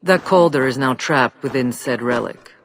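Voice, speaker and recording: An actor voicing a proud, defiant giant lord in a video game, with a theatrical, measured and scornful delivery. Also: male voice, mid-range voice, a bit bright, close to an everyday voice. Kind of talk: dramatic video game voice acting. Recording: clear background noise.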